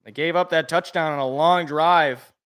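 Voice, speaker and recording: Young guy calling a football game live, kinda rough sniffly voice, bright tone. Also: fairly high pitch, moderate pace. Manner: excited calls, casual asides. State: allergies, a little rough and sniffly. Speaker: sports podcast host. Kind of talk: live play-by-play commentary.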